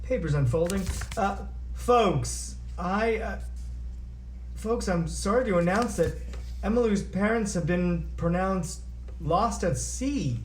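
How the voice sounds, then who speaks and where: Man acting high-voiced folksy radio emcee. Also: animated, takes it slowly, darkish tone. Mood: flustered. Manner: showy, hammy announcer patter. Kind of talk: announcing at a comedic script table read. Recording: roomy echo, noticeable background noise.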